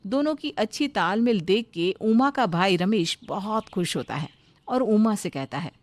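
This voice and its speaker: A woman's voice: mid-range voice, expressive, measured pace, no room sound. A woman giving scripted narration for a cartoon moral story, as its narrator.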